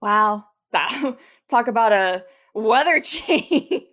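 A woman's voice: on the high side, lively intonation, slow, a bit bright. A woman hosting a casual podcast interview, with an effusive, informal way of talking. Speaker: podcast host.

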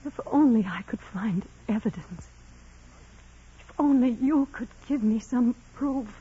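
Stern, very husky bitter nun. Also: female voice, a little high, lively intonation, measured pace, full character voice. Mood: bitter anguish. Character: severe, bitter senior nun, proud, doubting and anguished. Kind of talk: 1940s radio drama acting. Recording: dull, hazy vintage radio recording.

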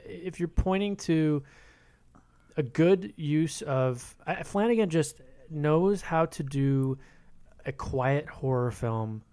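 A man's voice: fairly high pitch, a little mellow, clean tone. A man on a podcast panel, talking casually about film.

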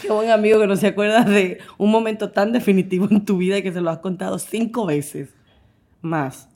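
Lively Dominican woman telling stories. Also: mid-range voice, brisk. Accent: Dominican Spanish. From Dominican Republic. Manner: animated, laughs often, acts out quoted voices. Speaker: guest. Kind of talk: casual interview storytelling.

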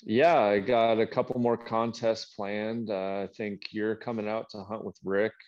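A man's voice: lowish voice, little pitch movement, unhurried, a little mellow, dull audio. A man who hunts coyotes, a guest on a podcast, talking in a laid-back, plain-spoken way. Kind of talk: casual hunting chat.

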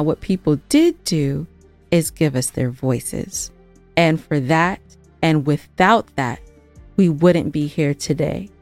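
A woman's voice: on the low side, a little mellow, no room sound. A woman co-hosting a podcast, talking casually and earnestly to listeners with an emphatic, playful streak. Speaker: podcast co-host and producer.

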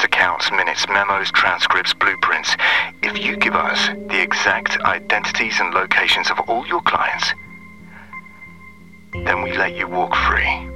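Male government agent, audio fiction. Very low register, unhurried, threatening delivery, music bed. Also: sharp tone, exaggerated voice, poor audio. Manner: deliberate, threatening, measured. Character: menacing male intelligence agent leaving an ultimatum.

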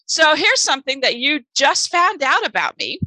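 A woman whose first language is German, co-hosting a podcast in casual, chatty conversation, self-deprecating with quick asides. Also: high voice, wildly swooping pitch, sharp tone, no room sound, poor audio. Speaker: podcast co-host, author. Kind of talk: casual chat.

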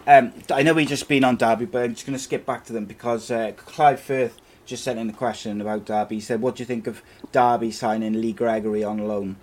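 A man's voice: mid-range voice, steady tone, a little fast, few pauses, a little mellow. Laid-back man hosting football chat. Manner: laid-back, chatty, calls co-host mate. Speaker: show host. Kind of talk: casual football chat show.

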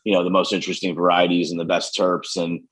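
A man with an American accent and a low voice talks as a business co-founder being interviewed. He explains things conversationally, padding his points with "you know" and "kind of". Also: flat delivery, fast talker. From United States, Western New York. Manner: hedging, often says "you know" and "kind of".

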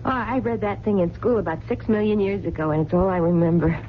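A woman playing a soft-hearted American diner waitress in a radio drama, casual and folksy in her talk. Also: medium pitch, quick pace, natural voice. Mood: wry, friendly. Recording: dull lo-fi radio recording, faint noise.

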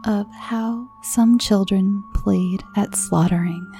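A woman's voice: medium pitch, fairly even intonation, slow. A woman storyteller hosting and narrating frightening fairy tales from a script. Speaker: podcast host and storyteller. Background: soft music.

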